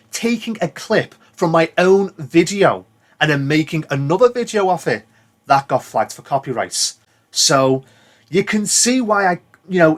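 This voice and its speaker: A British man giving casual, rambling commentary as a gaming video creator, plain-spoken and given to swearing. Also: a little high.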